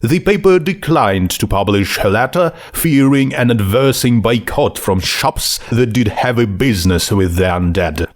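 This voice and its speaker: A man narrating a scripted story in a measured, deliberate storytelling manner. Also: mid-range voice, lively intonation. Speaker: fan fiction audiobook narrator.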